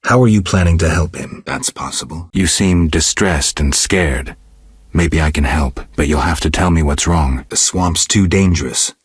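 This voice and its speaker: A world-weary, wry monster hunter from a fantasy video game. His voice is extremely deep and heavily husky, recorded close and dry. He speaks in terse, restrained, deadpan phrases with a gruff, stoic temper. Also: moderate pace, no echo, exaggerated voice. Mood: grave, cautioning. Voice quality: gravelly, husky low voice. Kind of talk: video game character dialogue.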